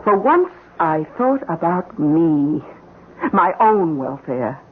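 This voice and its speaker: An actress playing a scheming middle-aged housekeeper in an old-time radio mystery, with deliberate, insinuating, theatrical phrasing. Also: female voice, low-pitched, very animated, slightly rough, exaggerated voice. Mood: smug, confiding. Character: scheming middle-aged housekeeper, coolly blackmailing her employer. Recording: muffled old radio recording.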